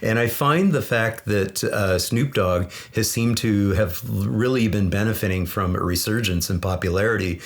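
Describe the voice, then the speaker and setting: A man's voice: lowish voice, moderate pace, hardly any pauses. A man from Los Angeles hosting a podcast, upbeat and friendly, with some fillers. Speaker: podcast host. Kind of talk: interview hosting.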